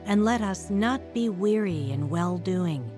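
A woman's voice: mid-range voice, animated, unhurried, over music, lightly acted. An actress playing a devout older street evangelist, earnestly narrating her life story in a radio drama. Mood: earnest, resolute. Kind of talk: radio drama first-person testimony narration.

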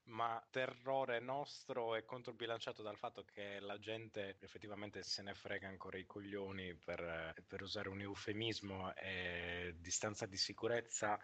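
Guy w a lowish voice on a podcast, rambly n halting, kinda formal wording as a bit. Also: steady tone, fairly bright tone. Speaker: podcast co-host. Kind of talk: casual podcast chat, telling anecdotes.

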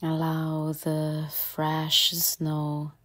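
Female meditation guide: low, very flat pitch, calm and gentle, soothing instruction in short phrases with long pauses.

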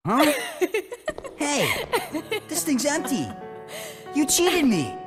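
Cocky, excitable teenage boy with swooping pitch. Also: drawn-out words, long reverb tail, over music, full character voice. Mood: excited, cocky. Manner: boastful, boyish, whooping. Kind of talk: video game cutscene acting.

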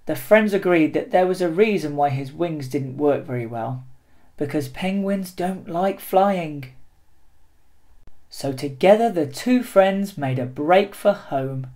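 A storyteller with a middle-pitched voice reads a picture book to young children. The delivery is slow and deliberate, with dramatic expression shaping each phrase. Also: expressive, a little mellow.

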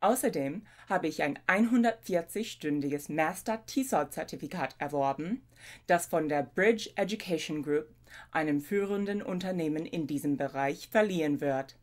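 A woman's voice: low voice, moderate pace. Young woman, careful tutor. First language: English. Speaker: language tutor. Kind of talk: scripted self-introduction to camera. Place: home room with bookshelves.

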